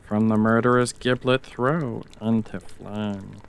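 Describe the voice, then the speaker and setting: Man as a slow, solemn chief witch. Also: fairly low pitch, fairly even intonation, vocal fry, clear, resonant tone. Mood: commanding. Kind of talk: dramatic reading of Shakespeare.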